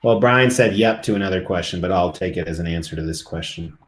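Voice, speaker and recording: A man's voice: deep voice, fast talker, roomy sound. A middle-aged man live streaming, chatting casually and rambling to his viewers.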